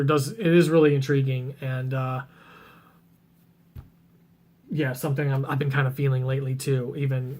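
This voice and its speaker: Man with a voice going rough. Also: medium pitch. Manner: rambling, many ums and restarts. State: voice getting rough and scratchy. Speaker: book vlogger. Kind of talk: casual chat about reading plans.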